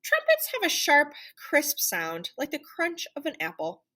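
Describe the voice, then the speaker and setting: A woman reading a children's picture book aloud in an animated, theatrical storytelling manner. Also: high voice, unhurried. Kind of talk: expressive children's read-aloud narration.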